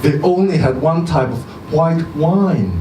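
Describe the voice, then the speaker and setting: Man performing entitled young man, haughty, dramatic complaining, raised pitch, story reading, noisy echoey venue. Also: a little slow, far from the mic, natural voice. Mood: disdainful. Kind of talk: acted dialogue in a live short-story reading.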